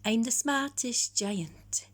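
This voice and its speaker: A woman's voice: on the high side, animated, slow, voice pushed up. A woman voicing a kindly, cheerful and proud male giant in a children's storybook, with a reassuring manner. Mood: proud, singsong.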